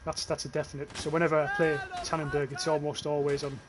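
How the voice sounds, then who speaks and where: A man's voice: on the high side, level tone, quick pace, noisy background. Man, northern English accent, young adult, casual gaming commentary, swears offhand, game sounds behind. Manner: chatty, swears casually, reads out chat comments. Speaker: streamer.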